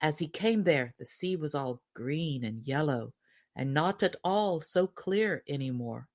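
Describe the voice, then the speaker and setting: A woman reading a fairy tale aloud as scripted narration, in an expressive storytelling manner. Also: low-pitched, a little slow. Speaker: story reader.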